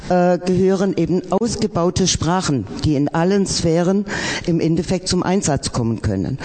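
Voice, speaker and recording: A man with a slightly raised pitch talks through an academic panel discussion. He pauses often with fillers and restarts, earnest about his point. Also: a little mellow. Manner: hesitant, many fillers, earnest.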